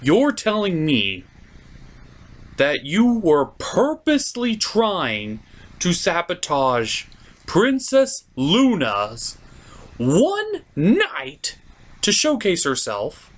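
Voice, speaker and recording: A man's voice: high-pitched, huge pitch swings, drawn-out words. A man delivering a ranting, profane review as an online host, exasperated and emphatic.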